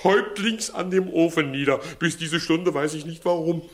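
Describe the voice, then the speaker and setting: An actor in a 1960s German radio comedy in verse, playing an evasive village judge who fawns upward and barks downward. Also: male voice, on the high side, moderate pace, lightly acted. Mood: evasive, theatrical. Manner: servile to superiors, barking at underlings.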